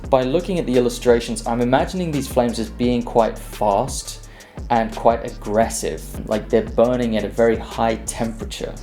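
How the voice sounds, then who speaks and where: A man hosting an animation channel, giving a measured, explanatory voice-over about his process. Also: medium pitch, a little mellow. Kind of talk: scripted video voice-over with process commentary. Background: music.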